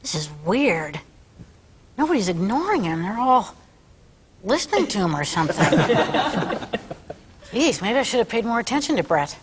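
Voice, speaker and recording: A woman's voice: fairly high pitch, big pitch swings, moderate pace, long pauses, a little hiss or hum. An actress playing a blunt, sarcastic small-town woman who teases sardonically at a sitcom read-through. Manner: sardonic, teasing.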